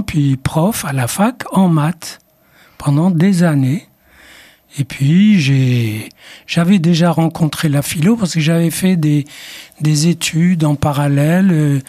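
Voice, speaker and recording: A man's voice: a little high, warm tone, husky. An older man, a psychoanalyst with Arabic as his first language, answering radio interview questions in a reflective, hesitant way, from Morocco. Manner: slow, reflective, with hesitant restarts. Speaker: psychoanalyst guest.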